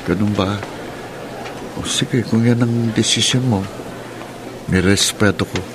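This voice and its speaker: A man's voice: fairly low pitch, steady tone, slow pace. An actor playing a shy young male student who hesitantly courts a classmate in a radio drama. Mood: earnest. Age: young adult. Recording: noisy radio drama recording.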